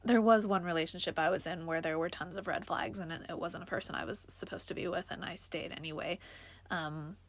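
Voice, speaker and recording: A woman's voice: fairly low pitch, fairly even intonation, fairly quick, dry recording. A young woman talking casually to her viewers in a vlog, stuffed up from allergies, reflective and full of ums and likes. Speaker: vlogger. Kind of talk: casual personal vlog talk.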